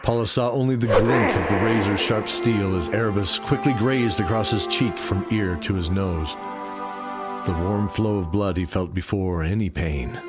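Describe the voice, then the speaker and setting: A man's voice: lowish voice, normal speed. A man narrating a scripted audio drama in a dramatic storytelling style, with music behind him. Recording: loud music bed behind.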